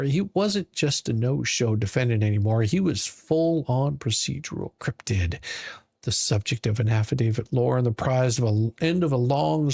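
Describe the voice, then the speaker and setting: A man narrating a scripted story in a dramatic, mocking manner, with deliberate theatrical pauses. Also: mid-range voice, a little mellow, dry recording, clean studio sound. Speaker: podcast narrator.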